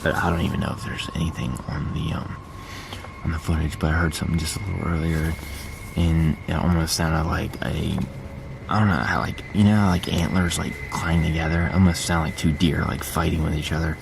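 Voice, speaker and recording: A man filming himself while camping, talking in a hesitant, halting, hushed way. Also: rumbling low, little pitch movement, creaky, background noise.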